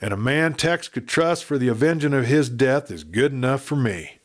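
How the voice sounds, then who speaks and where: A man's voice: mid-range voice, lively intonation, normal speed, natural voice. A man voicing a gray-haired cowpuncher from a western storybook, firm and plain-spoken, with folksy frontier phrasing. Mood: emphatic, decisive. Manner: folksy, declarative frontier speech. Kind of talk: western storybook character speech.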